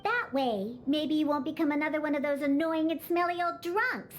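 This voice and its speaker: A woman's voice: high voice, normal speed, slight background noise, natural voice. A woman voicing a grumpy, sassy young cat-girl bartender in an anime game, childlike and dismissive. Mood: grudging, sassy.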